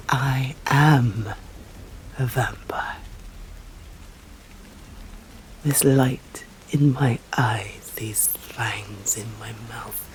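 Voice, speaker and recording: A woman with an English accent playing a stern, courteous female vampire in an ASMR roleplay, speaking formally and deliberately in a breathy voice that often drops to a whisper. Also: a bass voice, a little mellow, a little hiss or hum, poor audio. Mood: measured, hypnotic. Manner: slow, deliberate, formal, menacing.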